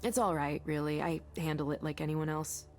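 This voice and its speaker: An actress playing a candid, kindly co-worker in a visual novel, with a frank, conversational delivery. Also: female voice, low-pitched, fairly quick, faint music behind. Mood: weary concern. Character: candid, weary but kindly woman, friendly co-worker.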